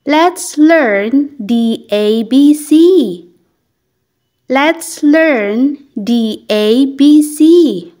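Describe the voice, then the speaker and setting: A young woman teaching children English, saying each phrase slowly and clearly, repeating it, then translating it. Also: high voice. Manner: clear, deliberate, lively intonation.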